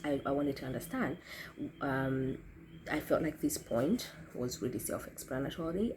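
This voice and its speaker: A young woman from Kenya talks through her own experiences with a low, mellow voice. She is thoughtful and often restarts her sentences as she works out what to say. A faint ambient hiss sits behind her. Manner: thoughtful, self-correcting, frequent restarts and fillers. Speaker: speaker sharing experiences. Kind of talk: reflective talk to camera.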